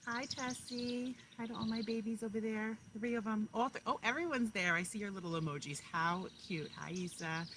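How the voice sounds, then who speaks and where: A woman kindergarten teacher talking and reading aloud to young children, speaking to them directly and pausing to explain and ask questions. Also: lowish voice, fairly bright tone, slight background noise.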